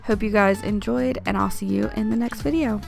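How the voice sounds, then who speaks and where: A woman's voice: medium pitch, fairly even intonation, brisk, darkish tone, soft background music. A young woman vlogger chatting to her viewers in a casual voice-over, rambling with frequent ums and likes. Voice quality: gravelly fry. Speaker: YouTube vlogger.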